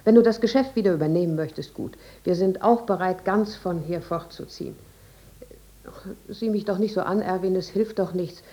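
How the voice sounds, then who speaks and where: An actress playing an estranged middle-aged wife in a radio drama, soft, pleading and gently resolute. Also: female voice, lowish voice, moderate pace, close to an everyday voice. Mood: sad, resolute. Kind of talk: 1950s German radio drama acting.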